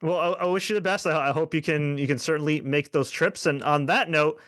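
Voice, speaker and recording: A man's voice: fairly high pitch, a little fast, fairly bright tone, no echo, studio quality. A man hosting an interview, asking questions in an upbeat, friendly way, sometimes repeating words as he starts a sentence. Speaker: TV interview host.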